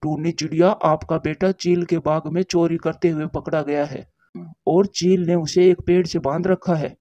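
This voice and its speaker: Woman as cartoon bird messenger, mid-pitched mellow voice, plain but urgent, muffled lo-fi sound. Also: fairly even intonation, moderate pace, no room sound. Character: matter-of-fact bird messenger of the forest council. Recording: dull, lo-fi cartoon dub.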